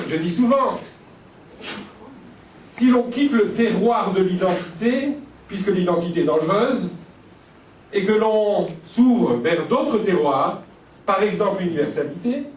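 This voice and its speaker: A man from Algeria giving a reflective, measured lecture at a conference, building his points through pauses and lists. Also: high-pitched, a little mellow, a little hiss or hum. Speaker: speaker at a conference. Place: conference hall. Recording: echoey hall from far off.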